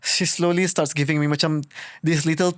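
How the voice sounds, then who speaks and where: A man's voice: a little high, a little fast, no room sound, clean studio sound. A man in his thirties with a Bruneian accent, telling personal stories informally as the guest of an interview, often acting out his own past thoughts. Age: 30s. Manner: informal, animated retelling with quoted thoughts. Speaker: podcast host being interviewed. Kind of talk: casual personal storytelling in an interview.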